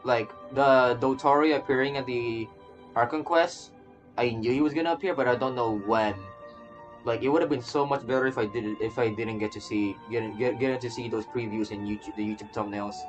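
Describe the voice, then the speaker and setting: Rambling male game streamer, mid-pitched. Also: moderate pace, lo-fi sound. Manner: rambling, stammering, repeats phrases. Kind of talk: casual live reaction commentary. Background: game music.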